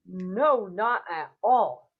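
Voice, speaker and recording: A woman's voice: mid-range voice, lively intonation, extremely slow, slightly rough, exaggerated voice. A young woman acting in a radio play as a parent recounting a Christmas Eve visit with dramatic wonder, over a video call. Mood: storytelling. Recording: dull video call audio.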